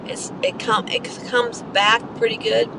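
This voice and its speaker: A hoarse middle-aged woman chatting casually with her viewers during a live stream while driving. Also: a little high, little pitch movement, unhurried. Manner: chatty, coughing between remarks. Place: inside a moving car. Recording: road noise from a moving car.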